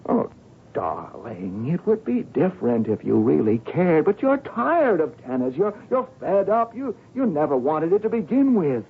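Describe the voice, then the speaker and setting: A man's voice: a little high, very animated, moderate pace. An actor playing a pleading, anxious boyfriend in a radio drama, stammering and coaxing as he repeats himself. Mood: wheedling, desperate. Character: pleading, wheedling, anxious male sweetheart. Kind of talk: vintage radio drama acting. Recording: noisy, tinny old radio broadcast.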